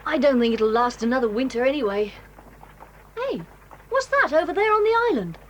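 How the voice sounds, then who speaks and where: A woman's voice: high-pitched, big pitch swings, moderate pace, a little hiss or hum. An actress voicing a cheerful, eager boy cousin in an audio drama, talking in a chatty way. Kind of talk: 1980s audio drama acting.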